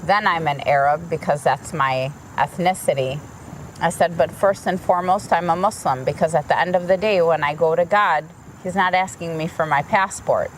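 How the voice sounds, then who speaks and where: Frank, low-voiced woman from the U.S. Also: moderate pace, a little hiss or hum, rough recording. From United States. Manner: frank, animated storyteller. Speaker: interviewee. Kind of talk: interview, personal account.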